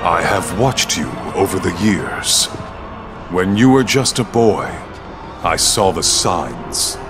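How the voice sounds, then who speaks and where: Deep, slow, ceremonial Spartan elder. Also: male voice, lively intonation. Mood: solemn praise. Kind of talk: video game character acting. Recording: music behind the voice.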